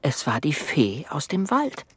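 A man narrating a children's radio play, telling the story in a measured way with dramatic emphasis. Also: a little high, wildly swooping pitch, noisy background. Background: music.

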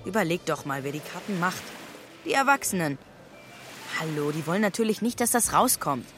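A woman's voice: on the low side, animated, a little fast, a bit bright, faint music behind. A woman playing a cheeky, boastful truant schoolboy in a children's radio play, flippant and taunting.

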